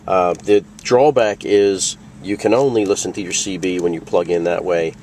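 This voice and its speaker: A man's voice: sits low, steady tone, moderate pace, a little mellow, distant mic, a little hiss or hum. A man hosting a casual product tutorial, explaining things plainly and step by step. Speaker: video host demonstrating a radio.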